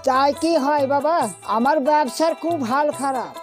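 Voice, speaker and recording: A high-piping roadside cycle uncle in a village drama, explaining firmly over background music, acted by a man. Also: normal speed. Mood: matter-of-fact. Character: older village bicycle repairman, matter-of-fact and firm. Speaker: actor. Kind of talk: amateur family drama acting.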